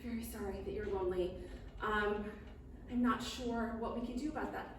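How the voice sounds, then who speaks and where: A woman voicing a pompous, bookish male king who speaks slowly and grandly, recorded in an echoey room from a distance. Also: on the high side, fairly even intonation, noisy background, close to an everyday voice. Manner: slow, grand, self-important. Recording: echoey room, mic far off.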